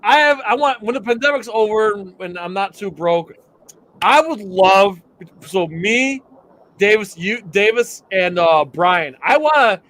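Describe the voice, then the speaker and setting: High-voiced excitable young guy. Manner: excited, rambling with restarts. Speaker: podcast co-host. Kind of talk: casual livestream chat.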